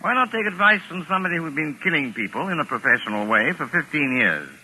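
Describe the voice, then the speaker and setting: A sharp-voiced, sardonic doctor. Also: male voice, fairly high pitch, animated, quick pace, slightly rough, full character voice, low-quality recording. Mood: calculating, persuasive. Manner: urbane, sardonic, calculating. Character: wry, cool-headed male doctor held among gangsters. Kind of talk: 1940s radio acting. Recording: old 1940s radio broadcast recording.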